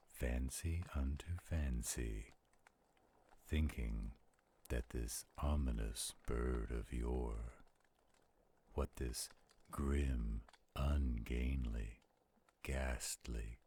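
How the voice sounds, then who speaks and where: A man voicing a theatrical, flirtatious werewolf storyteller in an audio roleplay, with a gravelly, husky voice. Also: a bass voice, dark tone, full character voice. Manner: slow, dramatic, playful. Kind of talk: audio roleplay acting with dramatic poem recitation.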